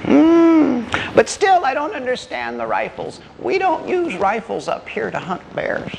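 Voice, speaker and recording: High-pitched folksy old granddad. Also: male voice, wildly swooping pitch, unhurried, gravelly, darkish tone, slight background noise, heavily acted, poor audio. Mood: puzzled, folksy. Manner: folksy, hesitant questioning that breaks into shrill hollering. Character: wily old backwoods granddad, folksy and feigning bewilderment.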